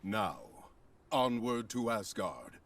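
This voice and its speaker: An actor playing a proud, heroic thunder god prince who speaks in archaic, commanding lines in a video game cutscene. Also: male voice, lowish voice, sing-song, takes it slowly, a little hiss or hum, exaggerated voice. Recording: loud music score behind.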